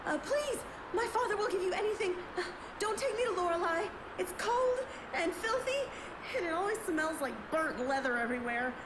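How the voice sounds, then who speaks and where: A very high, animated princess, young, feisty and dramatic. It is audio drama acting over loud background noise. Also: female voice, normal speed. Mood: babbling, frightened.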